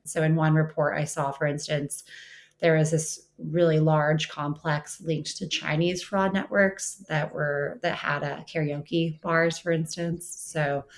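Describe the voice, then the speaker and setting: A woman speaking as an interviewed expert, explaining conversationally with frequent "you know" and "kind of". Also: sits low. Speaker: interviewed researcher and writer.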